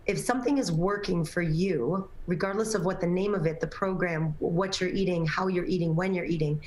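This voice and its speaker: A woman's voice: on the low side, a little fast, a little mellow, roomy sound. A woman guest on a morning TV talk show, a personal trainer and nutrition coach, talking casually with many fillers, her voice a little scratchy. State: slightly scratchy voice. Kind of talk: morning TV interview.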